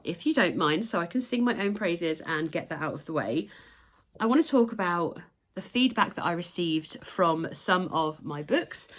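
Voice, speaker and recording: Chatty woman vlogger, slightly nasal. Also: medium pitch. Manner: chatty, enthusiastic, showing items to camera. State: nasal from a recent cold. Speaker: crochet designer and channel host. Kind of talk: casual vlog to camera.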